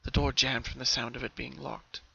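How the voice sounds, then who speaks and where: A man gives a scripted dramatic reading as a story narrator. His voice sits at a middle pitch with a bright, forward tone. The sound is a little dull, like a home recording. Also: level tone, moderate pace, gravelly, slightly rough, dry recording.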